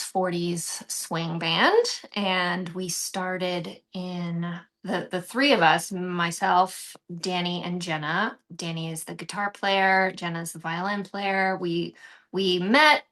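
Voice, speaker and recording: A woman's voice: fairly low pitch, a bit bright. An American woman from Texas talking casually and animatedly as an interview guest, telling personal stories. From San Antonio, Texas, United States. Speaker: interview guest, actress and swing band musician.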